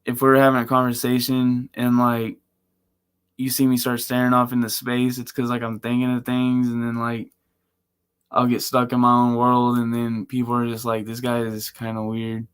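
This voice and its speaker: A man with a monotone, chill voice, rambling casually and slangily as a live streamer. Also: mid-range voice, moderate pace, clear, resonant tone. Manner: laid-back, chill. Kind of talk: casual livestream chat.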